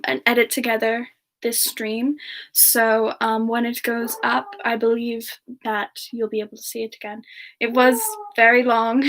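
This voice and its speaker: A high, slow, sweet voice for a modest ice-powered singer in love played by a woman. She talks softly and playfully, with giggles and pet names, in the intimate style of an in-character semi-ASMR livestream. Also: creaky. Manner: soft, giggly, endearing chatter with pet names. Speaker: character streamer answering chat questions.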